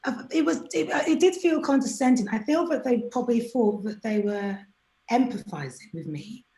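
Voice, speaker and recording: A woman's voice: fairly high pitch, moderate pace, reverberant, crowd noise. A woman as an interview guest, talking candidly and animatedly, sometimes acting out what other people said to her. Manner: chatty, animated, acts out quoted people.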